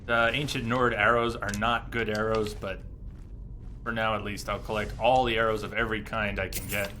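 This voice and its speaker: A man's voice: on the low side, little pitch movement, normal speed, sharp tone, a little hiss or hum. A man livestreaming a video game, chatting casually and thinking aloud as he comments on his play. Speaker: livestream gamer. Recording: game music behind the voice. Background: video game music.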